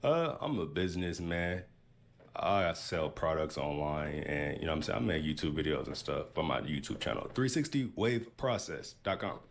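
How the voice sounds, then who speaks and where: A man in his thirties with an extremely deep voice. He is a dating show contestant, introducing himself and answering questions. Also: expressive, normal speed, vocal fry. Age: 30s.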